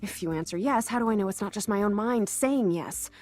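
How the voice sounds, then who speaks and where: An actress plays a stubborn teenage girl adjusting to blindness in an audio drama. She talks in a sarcastic, restless way with a slightly husky voice. Soft music runs underneath. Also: medium pitch, lively intonation, brisk, natural voice. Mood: wry. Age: teens.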